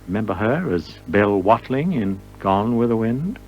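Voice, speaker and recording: Low-voiced male music host, lo-fi sound. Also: big pitch swings, normal speed. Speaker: radio music show host. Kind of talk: casual music commentary between records.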